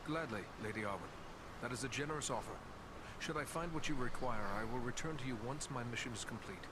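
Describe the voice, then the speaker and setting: An actor playing a noble ranger warrior in a game, steady and protective, with formal, courteous speech. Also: male voice, medium pitch, quick pace, heavy background noise, lightly acted. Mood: courteous, reassuring. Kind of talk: video game cutscene acting. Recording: loud music behind the voice.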